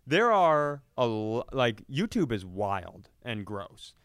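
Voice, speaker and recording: A man's voice: mid-range voice, big pitch swings, slow pace, a bit bright, smooth, no echo, studio quality. A man co-hosting a comedy podcast, telling anecdotes in a casual, rambling way with some swearing. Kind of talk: casual comedic storytelling chat.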